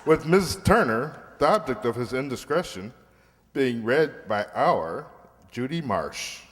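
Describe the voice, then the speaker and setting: A man's voice: mid-range voice, very animated, unhurried. A man announcing scripted closing credits in the style of an old-time radio show. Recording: reverberant hall, clear noise.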